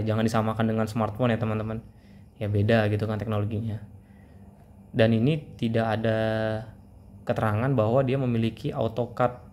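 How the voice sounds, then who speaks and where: A man's voice: lowish voice, a little mellow, a little hiss or hum. A man giving a casual product review, explaining things informally as if to friends.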